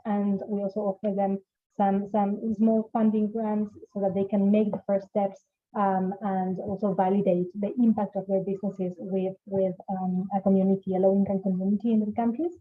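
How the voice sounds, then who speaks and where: A woman from Argentina with an Argentine accent, presenting at an online conference as an organization director, with many fillers and restarts. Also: medium pitch. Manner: many fillers and repeated starts. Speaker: organization director, panel speaker. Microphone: video call. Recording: tinny phone-like call audio.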